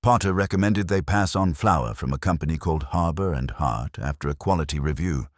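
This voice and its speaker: A man voicing a breezy, wry newspaperman in a detective audiobook, brisk and wisecracking. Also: rumbling low, dry recording, studio quality.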